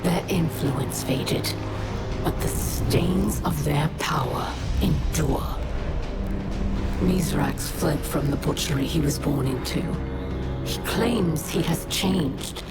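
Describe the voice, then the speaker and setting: Slow, deep-voiced, menacing alien warlord queen. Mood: grave storytelling. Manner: slow, menacing, formal oratory. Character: bitter, commanding alien warlord queen, proud and vengeful. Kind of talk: dramatic video game cutscene acting. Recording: loud music under the voice.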